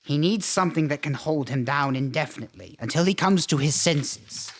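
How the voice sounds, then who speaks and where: A man's voice: a little high, fairly quick. A man playing a centuries-old vampire count, a fretful and formal scoundrel, in a campy horror-comedy film. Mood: sheepish.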